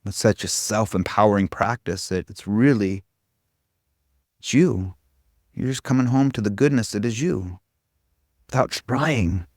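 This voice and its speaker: A man's voice: lowish voice, measured pace, pauses often, no room sound, clean studio sound. A man giving an informal spiritual talk to a group as a meditation teacher, rambling and irreverent, with casual, self-deprecating humor. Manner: irreverent, casual, frequent swearing.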